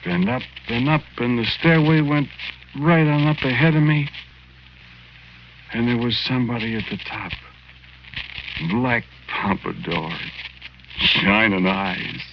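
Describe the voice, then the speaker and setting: An American actor playing the plaintive, vengeful ghost of a petty crook, narrating in plain, slangy 1940s radio style. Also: male voice, medium pitch, vocal fry, slight background noise, exaggerated voice. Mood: wondering. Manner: plain, slangy, ungrammatical tough-guy talk. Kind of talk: 1940s radio acting, first-person narration. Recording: dull lo-fi 1940s radio recording. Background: dramatic music.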